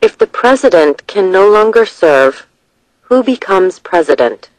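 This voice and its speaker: A narrator reading scripted test questions and short answers in a measured, deliberate way. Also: medium pitch, big pitch swings, dry recording, low-quality recording.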